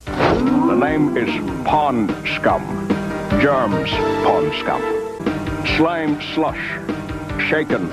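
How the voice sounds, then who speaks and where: A man playing a slimy mold villain spoofing a suave spy in a cartoon, with a smug, drawn-out delivery. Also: medium pitch, big pitch swings. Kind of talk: Saturday morning cartoon acting. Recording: cartoon clip with loud music.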